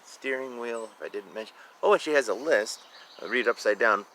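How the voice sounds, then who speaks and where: A man's voice: medium pitch, a little fast, fairly bright tone, a little hiss or hum. A man reading a picture book aloud expressively, with informal asides about the illustrations. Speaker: reader of a children's picture book.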